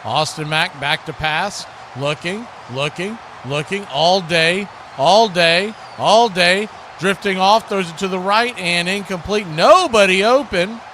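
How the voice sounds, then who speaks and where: A man's voice: high voice, a little slow, bright, forceful tone. A man doing live play-by-play commentary of a football game as a sports announcer. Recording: noisy live stream, clear background noise.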